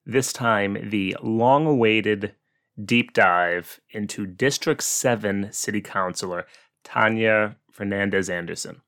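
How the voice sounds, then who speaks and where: A man hosting a commentary series, explaining things in a measured, opinionated way with some asides. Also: fairly low pitch, fairly bright tone. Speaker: host of a local politics series.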